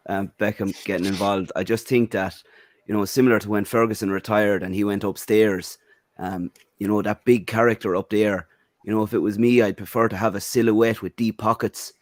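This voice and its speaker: An Irish man with a low voice argues his football opinions on a podcast panel. His talk is blunt and sprinkled with "um" and "you know", heard dry and close. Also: fairly even intonation, a little fast, no room sound. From Ireland. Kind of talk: casual football podcast chat.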